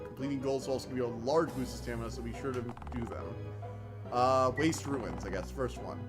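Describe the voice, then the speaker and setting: A man streaming a video game, chatting excitably and reading the game text aloud, laughing often, with a slightly whiny voice. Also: medium pitch, a little fast. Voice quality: self-described whiny voice. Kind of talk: casual let's-play commentary, reading game text aloud. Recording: game music behind.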